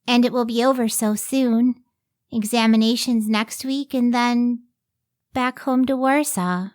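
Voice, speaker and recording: A woman's voice: a little high, fairly even intonation, measured pace, a little mellow, clear, resonant tone, close to an everyday voice. A woman playing a thoughtful, dutiful young woman scientist in an old-time radio drama style. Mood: happy, wistful.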